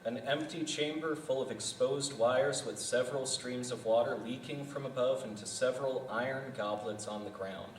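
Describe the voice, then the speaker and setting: A man's voice: medium pitch, normal speed, hardly any pauses. A man reading stage directions at a live table read in a plain, matter-of-fact way. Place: festival venue room. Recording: echoey room, distant mic, faint noise.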